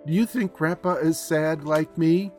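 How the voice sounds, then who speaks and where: A sad little boy from a storybook, voiced by a man, with raised pitch. He sounds plaintive and full of wondering questions, spoken slowly over faint music. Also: steady tone, a little mellow, close to an everyday voice. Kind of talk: storybook read-aloud.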